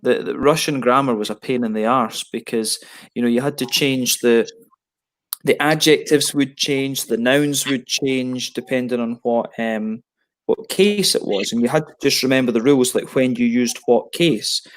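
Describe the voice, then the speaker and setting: Man, 30s, casual rambling hangout talk over a headset, mid-pitched and relaxed, frequent self-corrections. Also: moderate pace. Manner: rambling, many restarts and fillers. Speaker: beer reviewer on a video hangout. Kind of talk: casual chat.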